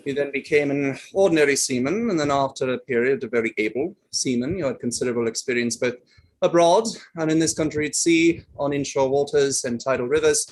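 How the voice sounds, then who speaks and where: A man reading a courteous barrister in a courtroom play, putting formal, halting questions. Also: mid-range voice, close to an everyday voice, poor audio. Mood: patient, formal. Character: courteous male barrister leading his own witness. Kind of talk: table read of a courtroom play.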